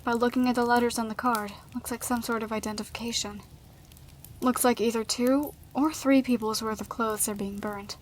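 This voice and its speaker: Woman playing calm, higher-pitched young girl detective. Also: normal speed, slight background noise, natural voice. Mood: composed, deductive. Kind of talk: amateur audio drama dialogue.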